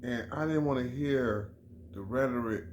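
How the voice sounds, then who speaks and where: A man's voice: medium pitch, moderate pace, a little hiss or hum. A middle-aged man from Chicago giving an emphatic, repetitive sports opinion in a casual vlog, often restarting his phrases. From Chicago, USA. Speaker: vlogger.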